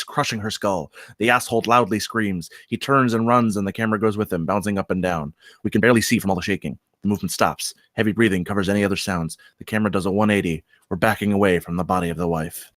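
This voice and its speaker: A man's voice: on the low side, fast talker, few pauses, fairly bright tone, no room sound. Man narrating a screenplay, dry sound. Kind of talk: reading screenplay stage directions aloud.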